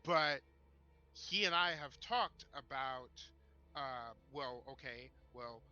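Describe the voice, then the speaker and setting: A man co-hosting a casual discussion, talking in a halting, stop-start, self-deprecating way as he tells anecdotes. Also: medium pitch, pushed and bright. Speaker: podcast co-host. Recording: dull narrow-band mic, music underneath.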